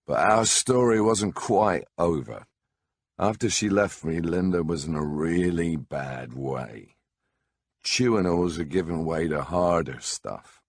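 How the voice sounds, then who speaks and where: A man's voice: low-pitched, expressive, slow pace, no room sound, clean studio sound. A man narrating a first-person memoir audiobook in a wry, conversational storytelling manner.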